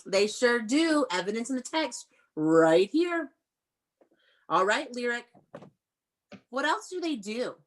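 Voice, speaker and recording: A woman with an American accent and a fairly high voice leads an elementary read-aloud lesson on a video call. She is encouraging, prompts with questions and praises the answers. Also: big pitch swings, takes it slowly, pauses often. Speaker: elementary school teacher.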